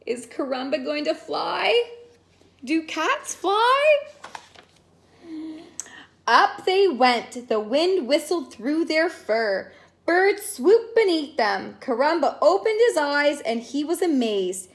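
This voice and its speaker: High-pitched, animated woman reading to children. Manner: expressive, sing-song, exclaiming, drawn-out words. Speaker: teacher reading a picture book aloud. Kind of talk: animated children's story read-aloud with asides to listeners.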